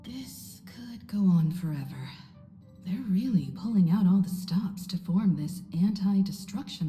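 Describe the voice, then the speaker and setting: A woman playing a calm, elegant, wise space navigator in a video game, composed, polite and deliberate. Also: fairly low pitch. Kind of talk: video game story voice acting. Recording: roomy reverb, faint music behind.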